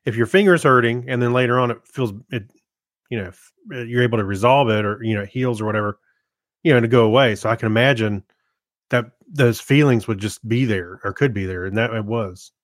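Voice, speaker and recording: A man's voice: mid-range voice, fairly quick, no room sound, studio quality. A man co-hosting a podcast, offering casual conversational commentary in a folksy, wry way. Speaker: podcast co-host.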